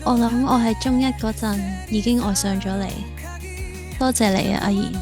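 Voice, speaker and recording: A woman's voice: on the high side, fairly even intonation, normal speed, music in the background, close to an everyday voice. An actress playing a tender, devoted teenage schoolgirl in an audio drama, with a Hong Kong Cantonese accent. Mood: tender, romantic.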